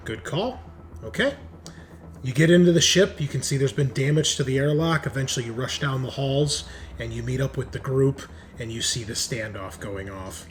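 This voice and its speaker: A man's voice: mid-range voice, moderate pace, soft background music. A man casually narrating a tabletop roleplaying game as game master. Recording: noticeable background noise.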